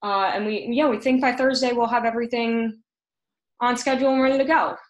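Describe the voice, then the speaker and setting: A young woman presenting a team update on a video call, earnest and often pausing mid-sentence. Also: a little high, fairly even intonation. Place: room at home, indoors. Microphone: computer mic on a video call.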